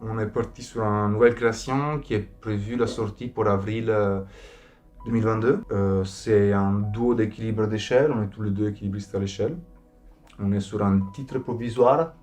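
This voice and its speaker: A man's voice: fairly low pitch, steady tone, moderate pace, a little mellow. Man over music. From abroad, outside France. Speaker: circus acrobat, company founder. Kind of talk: informal interview about own work.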